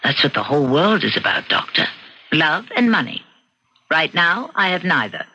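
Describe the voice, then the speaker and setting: An actress playing a bored, cunning wife in her thirties in an old-time radio drama, with cool, theatrical, deliberate delivery. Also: low-pitched, very animated, pushed and bright, breathy edge, full character voice. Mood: cool, deadly serious. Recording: dull, noisy vintage radio recording.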